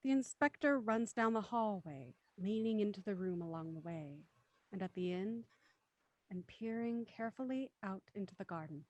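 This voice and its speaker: A woman narrating a period radio play in a measured, descriptive way. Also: medium pitch, animated, a little mellow, no echo, lo-fi sound, natural voice. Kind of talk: scripted narration. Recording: dry close studio sound.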